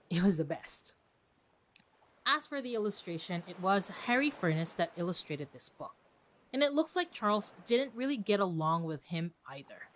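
A woman's voice: medium pitch, a bit bright. A woman hosting a podcast, reading a prepared script in an informal, conversational way with chatty asides. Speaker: podcast host.

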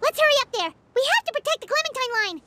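A woman's voice: extremely high pitch, expressive, very fast, full character voice. A woman voicing a small, plucky, loyal child in a video game, spirited and emphatic. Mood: battle excitement. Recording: loud music and crashing game effects.

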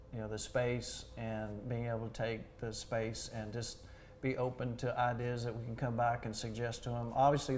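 A middle-aged man with a Southern US accent talks easily about kitchen design, full of fillers and little restarts. His voice sits at a medium pitch. A faint hiss of room noise sits under his lapel mic in a big kitchen. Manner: relaxed, chatty, many fillers. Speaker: cabinet designer, guest. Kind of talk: casual on-camera interview. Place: large home kitchen, indoors.

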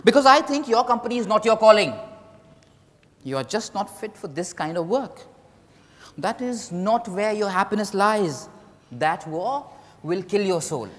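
A man's voice: high voice, lively intonation, unhurried, long pauses, fairly bright tone. An Indian-accented man playing a candid, evasive writer in a dramatized stage reading. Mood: opinionated. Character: Mriganko, candid, evasive male writer and lover. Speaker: actor. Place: large hotel ballroom stage, indoors. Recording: echoey hall stage recording.

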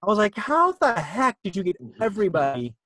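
Higher-pitched American man explaining informally. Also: big pitch swings, fairly quick, sharp tone, dry recording, clean studio sound. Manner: conversational, explanatory. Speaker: workshop presenter answering questions. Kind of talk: informal video-call Q&A. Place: indoor room, on a video call. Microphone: video call.

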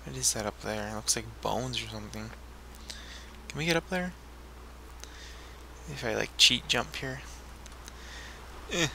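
A man's voice: mid-range voice, a little slow, gravelly, pauses often, pushed and bright, a little hiss or hum. A man hosting a gaming video, rambling casually and talking to himself as he plays. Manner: rambling, talks to himself while playing. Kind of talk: casual let's play commentary.

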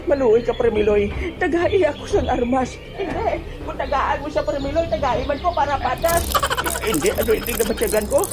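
A woman's voice: sits high, animated, fairly quick. A woman acting a frantic old man in a comic audio drama, exclaiming and talking through each action aloud. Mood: pleading.

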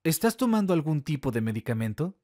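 A man with a slightly raised voice reads short translations for a language course. He speaks fast and plainly, one line at a time. The sound is dry and close. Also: on the high side, sing-song, a little mellow, no echo. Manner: brisk, plain reading of each line once. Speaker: Spanish translation reader. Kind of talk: reading lesson translations.

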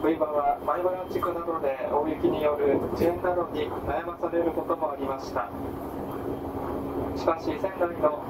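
A man's voice: on the high side, steady tone, normal speed. A man working as a train conductor, making polite, formal onboard announcements. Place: inside a moving train carriage, over speakers. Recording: noisy distant train PA, poor audio. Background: loud train and ventilation noise.

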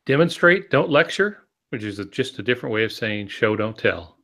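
A man's voice: mid-range voice, big pitch swings, moderate pace, gravelly, a bit bright. A man in his sixties giving casual writing advice with dry humor on a video-call podcast. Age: 60s. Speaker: podcast co-host, novelist. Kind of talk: casual teaching talk with dry jokes. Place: home office, indoors. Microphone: earbud headset on a video call.

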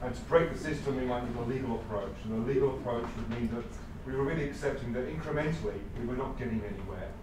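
A man with an Australian accent summarising his table's discussion in a loud, searching way, often restarting his sentences, recorded from far off in a large conference room. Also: medium pitch, fast talker. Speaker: table spokesperson. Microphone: far room mic, no microphone. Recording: echoey room from far off, noisy.

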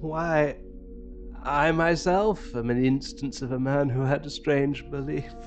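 A man's voice: fairly high pitch, steady tone, takes it slowly, faint music behind. Ornate, unsettlingly courteous madman. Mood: lucid, unsettling. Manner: ornate, pedantic, precisely phrased. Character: courteous, scholarly male asylum patient with unsettling obsessions. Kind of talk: audio drama acting.

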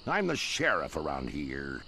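A man playing a menacing, smug sheriff in a pirate video game, gravelly and condescending. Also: a bass voice, wildly swooping pitch, a little slow, pushed and bright, slight background noise, heavily acted. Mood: smug warning.

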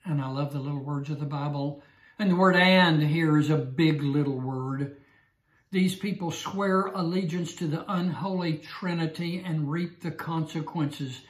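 Slow, emphatic older man teaching Scripture. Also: a little high, roomy sound. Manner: slow, emphatic, repeats key phrases. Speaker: Bible teacher. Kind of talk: Bible study lecture. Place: small room indoors. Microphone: lapel mic.

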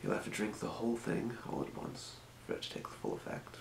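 A man playing a calm fantasy potion shopkeeper in a breathy, measured, courteous whisper for an ASMR role play. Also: lowish voice, echoey room, slight background noise, pitched above the performer's own voice, exaggerated voice. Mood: calm, helpful. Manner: measured, murmuring, courteous merchant patter. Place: small indoor room.

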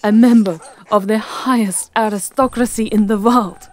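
A woman voicing a seductive, possessive, aristocratic vampire countess in a theatrical, deliberate ASMR roleplay, with breathy whispers. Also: medium pitch, fairly even intonation, voice pushed up, natural voice. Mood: proud, indignant.

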